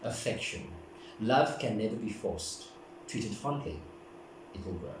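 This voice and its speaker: A man talks through a tarot reading in a gentle, meandering way, circling back over his points. His voice sits on the low side. The mic is some distance away in a heavily echoing room, with steady background noise. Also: measured pace. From South Africa. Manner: gentle, meandering, repeats "as well" often. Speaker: tarot card reader. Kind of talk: casual spoken tarot reading.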